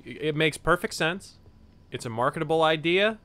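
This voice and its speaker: A man's voice: fairly high pitch, lively intonation, normal speed, fairly bright tone. A man giving sarcastic, crude running commentary while playing a video game. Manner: sarcastic, snarky, crude jokes. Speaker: let's play host.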